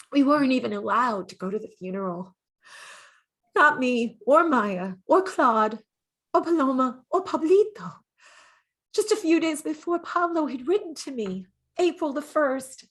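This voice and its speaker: Give the part of a dead, lovelorn woman, played by an actress in a high voice. She is gentle and wistful, looking back on her great love in a halting audio-play monologue that moves between hushed and intense. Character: dead gentle lovelorn woman, artist's former lover, remembering.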